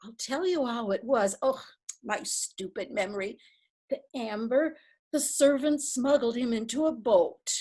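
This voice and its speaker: Kindly old knitter, read by a woman: unhurried, scattered, softly fussy. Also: a little high. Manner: flustered and rambling. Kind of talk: readers theatre reading.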